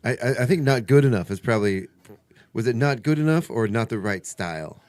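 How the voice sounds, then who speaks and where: A man's voice: mid-range voice, animated, a little fast, a little mellow, dry recording. A man hosting a casual radio chat, rambling through stories in a chatty, conversational way. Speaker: radio show host. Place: radio studio.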